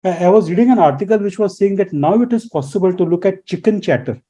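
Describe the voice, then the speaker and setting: High-voiced, mellow man explaining. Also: fast talker. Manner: lecturing, poses rhetorical questions. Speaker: guest expert. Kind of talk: explanatory radio interview answers.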